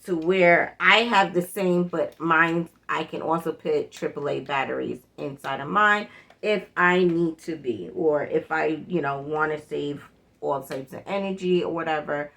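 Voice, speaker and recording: A middle-aged woman with a slightly low voice talks to her viewers in a casual, chatty way. She hosts a demonstration and circles back over her points to stress them. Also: unhurried, creaky, fairly bright tone. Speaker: prepper vlog host. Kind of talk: casual product demonstration chat.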